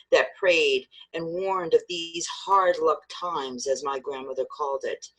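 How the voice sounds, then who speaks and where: A man's voice: a little high, a bit bright, rough recording. A man, an actor, giving a poetic and solemn dramatic reading of a memorial piece.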